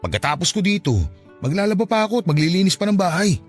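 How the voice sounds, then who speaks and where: A man's voice: sits high, lively intonation, fast, faint music behind. A man playing a harried, evasive cheating husband in Filipino radio drama, exasperated and insistent. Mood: annoyed.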